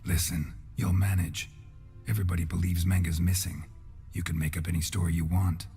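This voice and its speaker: Very low-pitched male monster hunter, stoic, terse, deadpan, video game, music underneath. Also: fairly quick, gravelly, breathy edge, full character voice. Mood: matter-of-fact. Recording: music behind the voice.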